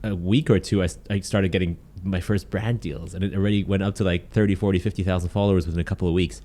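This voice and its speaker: A young man from Germany and the UK, a content creator, telling stories as a guest in a casual podcast interview. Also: sits low. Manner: quick, animated storytelling with frequent "like".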